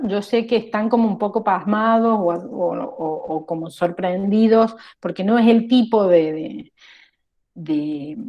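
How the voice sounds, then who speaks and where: A woman's voice: on the high side, warm tone. A woman with an Argentine accent lecturing a class, explaining step by step and often checking whether students follow. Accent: Argentine Spanish. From La Rioja, Argentina. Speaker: teacher.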